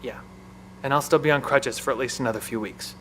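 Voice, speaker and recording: A man's voice: medium pitch, brisk, fairly bright tone, a little hiss or hum, lightly acted, poor audio. An actor playing an anxious, protective male bunker technician and new father in an audio drama. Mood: grim, worried.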